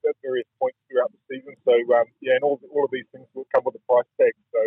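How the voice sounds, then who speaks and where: Brief: New man, radio guest over a tinny phone line, husky, quick and halting, flat delivery. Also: medium pitch, dry recording. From New Zealand. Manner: halting, restarts often, searching for words. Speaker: rugby guest interviewee. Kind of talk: radio interview.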